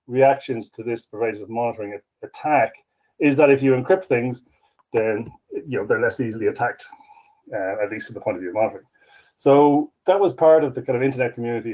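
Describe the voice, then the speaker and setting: Hesitant male speaker, steady mid voice, slightly dull call audio. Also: mid-range voice. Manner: hesitant, many fillers and restarts. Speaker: conference speaker. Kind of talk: conference talk over video call.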